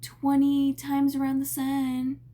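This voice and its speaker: A young woman around twenty with a fairly high voice, chatting casually as a podcast guest. She is playful and chips in often. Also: monotone, slow pace, mellow. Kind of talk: casual chat.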